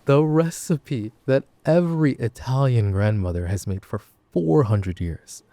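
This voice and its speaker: A man's voice: lowish voice, warm tone, no room sound. A young man playing a chatty, teasing college best friend in an intimate roleplay, rambling wryly and interrupting himself. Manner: rambling, wry, halting with self-interruptions. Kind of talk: intimate boyfriend roleplay audio, casual storytelling.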